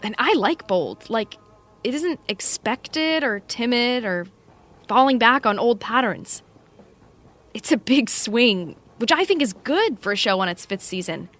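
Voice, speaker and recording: A woman's voice: a little high, expressive, measured pace, fairly bright tone. A woman playing a shrewd, opinionated TV colleague with a pointed, sarcastic manner, in a naturalistic audio drama. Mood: frank, measured.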